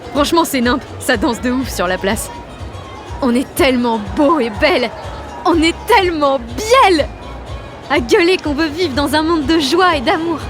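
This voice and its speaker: A woman's voice: mid-range voice, natural voice. A woman playing a cocky, exalted sixteen-year-old girl activist, narrating an audio drama in brash, confiding slang. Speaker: lead actor and narrator.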